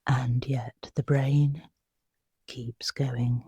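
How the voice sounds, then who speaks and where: A meditation guide speaks very slowly in a mellow, breathy hush. The delivery is soothing and unhurried, with long pauses between phrases. The sound is dry and close, made for guiding someone to sleep. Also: medium pitch, level tone, no echo.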